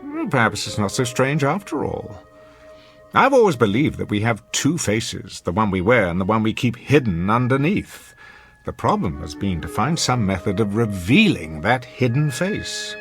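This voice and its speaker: An actor playing a suave, manipulative middle-aged husband, urbane and theatrical, in an old-time radio drama. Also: male voice, fairly low pitch, sing-song, moderate pace, faint music behind. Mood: scheming. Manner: pompous, theatrical, urbane, goading.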